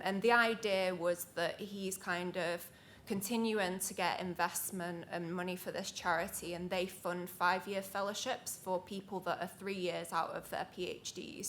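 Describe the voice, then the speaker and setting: An academic woman introduces her research work to a panel audience. She has a lowish voice and a measured, explanatory manner, and she leans on "kind of" as she speaks. A faint rumble hums under the recording. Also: fairly bright tone.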